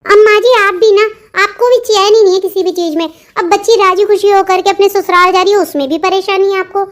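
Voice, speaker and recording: An extremely high voice from a woman plays a young sister-in-law and confidante. She soothes and coaxes with a scheming streak, in the heightened style of a cartoon family drama. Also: fairly even intonation, brisk. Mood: chiding. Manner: coaxing, placating. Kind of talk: melodramatic Hindi cartoon dialogue.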